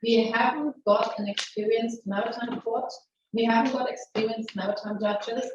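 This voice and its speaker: A middle-aged woman with a German accent gives a frank, lively webinar presentation, often restarting sentences and asking rhetorical questions. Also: a little high, moderate pace, background noise. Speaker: webinar presenter, maritime lawyer. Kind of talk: conversational legal webinar presentation. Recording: very echoey, distant, muffled webinar audio.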